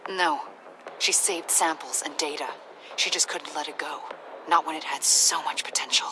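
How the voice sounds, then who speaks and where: Low-voiced, earnest female agent, acted. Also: fairly even intonation, moderate pace, close to an everyday voice. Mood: confiding, serious. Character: Avesta, earnest troubled adult female government agent. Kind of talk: naturalistic video game acting. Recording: clear ambient background noise.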